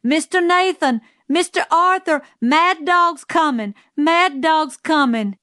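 A woman's voice: a little high, unhurried, no room sound, clean studio sound, natural voice. A woman voicing a brusque, commanding Southern housekeeper in an audiobook, with emphatic delivery and a Southern US accent. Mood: shouting, insistent. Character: forceful, no-nonsense Southern housekeeper woman, exasperated then urgent. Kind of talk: audiobook character voice.

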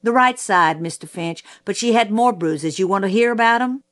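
A woman's voice: mid-range voice, normal speed, lightly acted. A woman voicing a folksy, stubborn male country sheriff in an audiobook, with clipped, colloquial phrasing. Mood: conceding, folksy. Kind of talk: audiobook character voice.

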